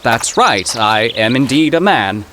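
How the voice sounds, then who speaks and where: A man's voice: mid-range voice, very animated, measured pace, background noise. An actor playing a pompous, bewildered professor out of his own time in a comic audio drama, speaking in florid, formal, theatrical language. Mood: curious, grandiose.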